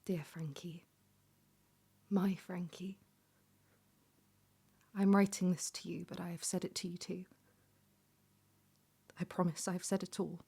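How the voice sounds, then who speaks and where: A devoted woman reads her letter in a lowish, very even voice. She is tender and breathy, often slipping close to a whisper, as in intimate audio drama. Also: takes it slowly, long pauses, full character voice. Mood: tender, hopeful. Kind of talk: intimate scripted letter monologue.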